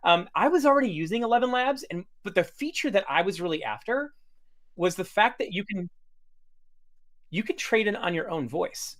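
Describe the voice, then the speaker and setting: A man's voice: high-pitched, expressive, moderate pace, a bit bright. A man hosting a casual livestream demo over a video call, chatty and full of fillers and restarts. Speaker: host demoing software.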